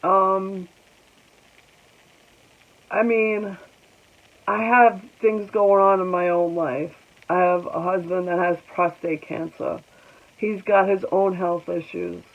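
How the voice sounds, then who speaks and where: Woman, forties, congested, slow halting vlog talk, gravelly, tinny phone-like sound. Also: fairly low pitch, little pitch movement. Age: 40s. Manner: halting, reflective, with many pauses and ums. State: congested, clearing her throat often. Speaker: vlogger. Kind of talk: personal vlog talking to viewers.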